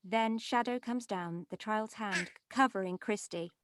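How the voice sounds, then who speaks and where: A woman's voice: mid-range voice, a little slow, noisy background. A woman leading a script table read, reading stage directions and discussing them informally.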